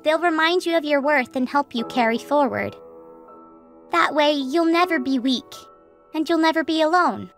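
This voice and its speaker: A woman voicing a calm, reassuring cat-like monster therapist in a comic dub, speaking in a measured, formal and encouraging way. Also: high-pitched, faint music behind. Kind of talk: animated comic dub voice acting.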